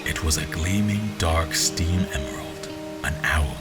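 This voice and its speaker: A world-weary general recounting his memories in a low voice. The narration is brooding, sardonic and literary, delivered as scripted audio drama over clear background music. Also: a little slow. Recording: music bed under narration.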